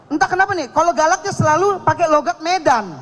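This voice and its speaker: High-voiced guy doing stand-up, cocky and teasing, crowd laughing behind. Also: fast, fairly bright tone. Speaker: stand-up comedy contestant. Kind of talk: stand-up comedy set. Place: stage at a live comedy contest. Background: audience laughter.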